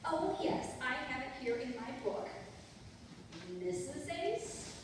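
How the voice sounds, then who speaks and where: Woman playing a high, lilting receptionist nurse, echoey. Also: expressive, slow pace. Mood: chirpy, polite. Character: chirpy, soothing female receptionist nurse, gossipy. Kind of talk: old-time radio comedy acting. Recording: echoey hall from far off, noisy.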